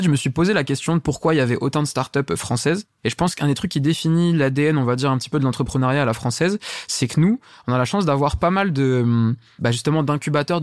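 A young man from France talks quickly and eagerly as an interview guest. His speech is casual and slangy, full of fillers and restarts. The sound is dry and close, with no room to it. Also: mid-range voice, no room sound. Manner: enthusiastic, slangy, many fillers. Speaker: startup founder, guest.

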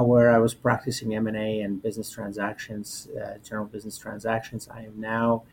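A man in his forties from Albania, a native Albanian speaker, telling his personal story as an interview guest in a halting, repetitive way. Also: medium pitch, rough recording. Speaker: interview guest, corporate lawyer. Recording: noticeable background noise.

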